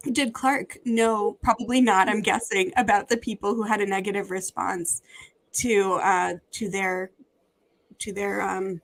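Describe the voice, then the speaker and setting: Gentle woman moderating a panel. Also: medium pitch, creaky, low-quality recording. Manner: gentle, measured, hesitant fillers. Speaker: podcast host.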